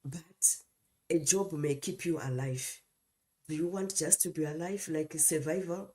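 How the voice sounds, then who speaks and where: A middle-aged woman with a Zimbabwean accent co-hosting a live talk show, speaking deliberately in short phrases with pauses. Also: sits low, mellow. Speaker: co-host and mentor.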